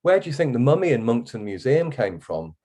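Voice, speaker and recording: A man's voice: medium pitch, very animated, fairly quick, warm tone, pitched above the performer's own voice. A man voicing earnest, bossy schoolboys in a storybook reading. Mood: earnest, urgent.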